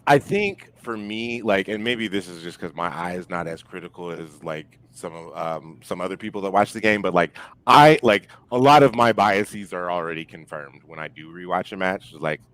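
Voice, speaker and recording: A man's voice: low voice, expressive, normal speed, few pauses, a bit bright, no echo, poor audio. A man chatting casually as a podcast guest, hesitant and self-deprecating.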